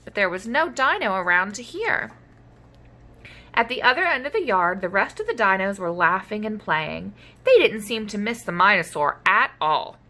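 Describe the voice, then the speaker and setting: A woman reads a children's story aloud as a story time host. Her delivery is lively and swings widely in pitch, with a bright tone. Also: normal speed. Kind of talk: animated storybook read-aloud.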